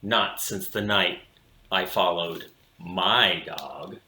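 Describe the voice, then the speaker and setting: A man reading a children's picture book aloud expressively, with theatrical delivery and deliberate dramatic pauses. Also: low voice, pushed and bright. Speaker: storybook reader for children. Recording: roomy, echoing room sound.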